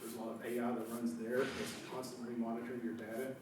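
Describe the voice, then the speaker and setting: A man with a hoarse voice from a cold, giving a casual, rambling talk as a company founder, full of fillers and self-corrections. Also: mid-range voice, level tone, fast. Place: large echoey lecture room. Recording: echoey hall, speaker far from mic, background noise.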